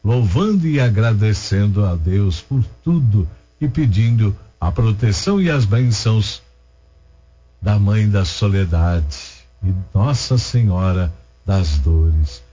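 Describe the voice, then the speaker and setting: Brazilian male radio host, lowish voice, rhythmic reading of intentions, dull broadcast sound. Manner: steady, rhythmic listing of names and places. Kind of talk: reading listener intentions and leading prayers.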